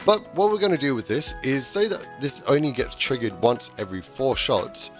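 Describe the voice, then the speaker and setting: A man, slightly hoarse because he is losing his voice, talking through a game-building tutorial in a chatty way with frequent restarts. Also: mid-range voice, very animated, normal speed, soft background music. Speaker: gaming tutorial host. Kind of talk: casual game tutorial voice-over.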